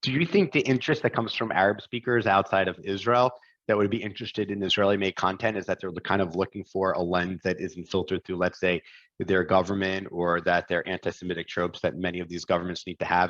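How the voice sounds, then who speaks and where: Deep fast-talking American man, rambling questions. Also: steady tone, a bit bright, muffled recording. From United States. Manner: rambling, restarts questions often. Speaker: podcast host. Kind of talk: casual interview chat.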